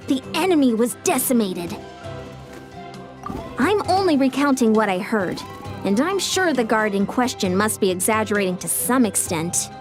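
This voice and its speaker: An actress playing an earnest young female warrior officer in a video game, speaking in a formal, measured, courteous way. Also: high-pitched.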